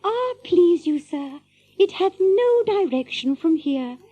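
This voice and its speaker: An actress playing an earnest young medieval damsel in an audio drama, rambling innocently in flowery archaic speech. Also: female voice, very high-pitched, big pitch swings, slow pace, highs cut off, exaggerated voice.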